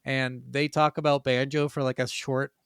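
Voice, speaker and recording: Male podcast co-host, noticeable vocal fry, dry sound, conversational with loose swearing. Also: mid-range voice, little pitch movement, moderate pace, a bit bright, dry recording. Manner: heavy casual swearing. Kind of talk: casual video game podcast chat, partly reading from notes.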